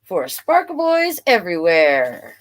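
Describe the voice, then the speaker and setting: A woman's voice: fairly low pitch, huge pitch swings, unhurried. A woman storyteller reading a children's book aloud, with informal, playful asides. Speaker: drag king storyteller reading children's books.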